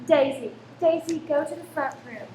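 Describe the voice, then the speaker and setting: Woman acting nervous, high-voiced mother. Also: lively intonation, normal speed, warm tone. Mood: appeasing. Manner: hesitant, nervous, appeasing. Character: anxious, flustered, protective mother and landlady. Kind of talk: radio play acting. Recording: echoey room with faint noise.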